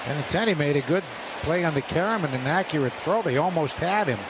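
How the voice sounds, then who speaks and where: A man giving calm, analytical color commentary during a radio baseball broadcast. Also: fairly high pitch, fairly quick. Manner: calm analytical remarks between plays. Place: broadcast booth at a ballpark. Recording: radio broadcast with crowd noise.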